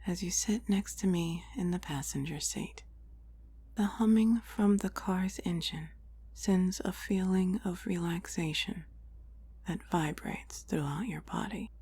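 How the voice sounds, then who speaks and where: A woman whispering a guided meditation in a breathy, soothing, deliberate voice with long pauses between phrases. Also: on the low side, mellow, a little hiss or hum. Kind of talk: whispered guided relaxation, ASMR-like scripted narration.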